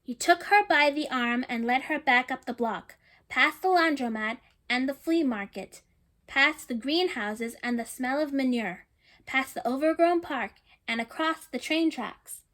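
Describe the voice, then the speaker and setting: A woman's voice: sits high, a little slow. A woman reading a picture book aloud to children, stopping to chat and ask listeners questions, and often repeating herself. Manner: chatty, warm asides to young listeners, often repeating herself.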